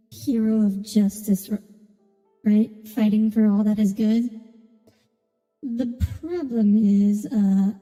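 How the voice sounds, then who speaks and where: Woman, game streamer, casual commentary, very mellow tone, reverberant sound, music behind. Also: a little high.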